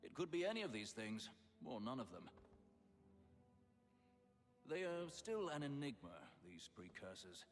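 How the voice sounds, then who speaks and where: Scheming secret-order leader, animated intonation, courtly, grandiloquent, formal, game drama, faint music. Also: male voice, mid-range voice, a little slow, long pauses. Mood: grand, intrigued. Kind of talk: video game cutscene acting.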